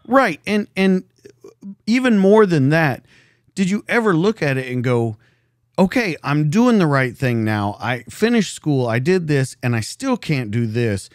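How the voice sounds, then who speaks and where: A man's voice: fairly high pitch, very animated, a little slow, no echo. A man hosting a podcast from a home studio, asking probing questions and repeating them. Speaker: podcast host. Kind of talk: interview questions.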